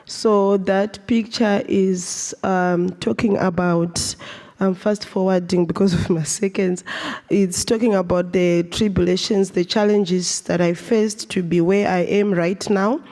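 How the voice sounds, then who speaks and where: Earnest woman from Zimbabwe, mellow voice. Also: mid-range voice, steady tone, a little slow. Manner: earnest, with frequent restarts and fillers. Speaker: speaker at a live storytelling event. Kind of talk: personal talk on stage. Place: stage at a live event.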